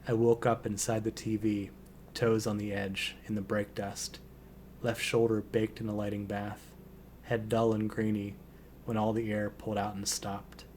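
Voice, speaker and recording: Hoarse, hesitant man reading poetry. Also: on the low side, completely flat delivery, unhurried, a little mellow, slight background noise. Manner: hesitant, many ums and restarts. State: slightly hoarse voice. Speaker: poetry channel host. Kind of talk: casual intro and poetry reading.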